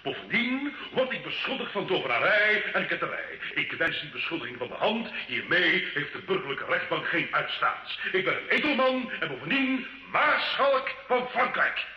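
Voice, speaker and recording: A man's voice: high-pitched, sing-song, moderate pace, few pauses. An actor playing a proud, defiant medieval nobleman in a radio play, grandiloquent and mocking. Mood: defiant, indignant. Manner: grandiloquent, sarcastic, theatrical. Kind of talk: old Dutch-language radio play acting. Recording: dull, echoey old radio recording.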